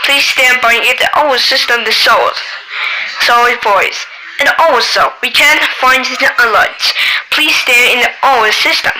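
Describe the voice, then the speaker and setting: A woman improvising a mock emergency alert broadcast in a halting, repetitive announcing manner on a noisy recording. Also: a little high, pushed and bright.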